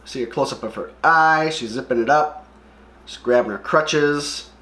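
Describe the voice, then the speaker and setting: A man hosts a comic read-along in an echoey room, describing things in a casual, offhand way. His pitch is middling, and he comments freely with his own opinions. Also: moderate pace, bright, forceful tone.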